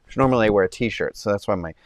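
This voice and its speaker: A man hosts a relaxed live stream from home, talking in a nasal, congested voice from a summer cold. He rambles with frequent ums and restarts while answering his chat. His voice is smooth and clear, recorded dry and close on a clip-on mic. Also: on the low side, very fast, dry recording. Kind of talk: casual chat with live chat viewers. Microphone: clip-on mic under his shirt.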